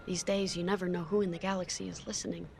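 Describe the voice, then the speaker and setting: A voice actor playing a calm, intuitive starfighter pilot from a Force-sensitive world, earnest and reserved in manner. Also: mid-range voice, quick pace, gravelly, soft background music, natural voice. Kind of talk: video game voice acting. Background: loud orchestral score.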